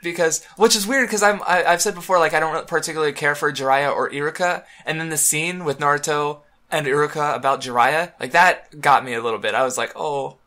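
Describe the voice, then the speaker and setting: Rambling man, bright forceful voice. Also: on the high side. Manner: rambling, halting, many restarts and fillers. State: throat-clearing, a bit hoarse. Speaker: podcast co-host. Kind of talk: casual chat about manga.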